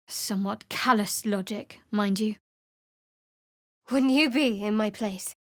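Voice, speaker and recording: A woman's voice: a little high, no room sound, studio quality, natural voice. A woman playing a guarded, sardonic young female cleric in a fantasy video game, speaking in a cool, wry, clipped manner. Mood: guarded, low-key.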